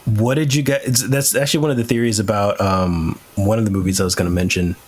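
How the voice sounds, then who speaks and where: A man's voice: fairly low pitch, fairly even intonation, fast talker, slightly rough. A man speaking as a podcast host in casual, opinionated conversation, swearing freely. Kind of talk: casual chat.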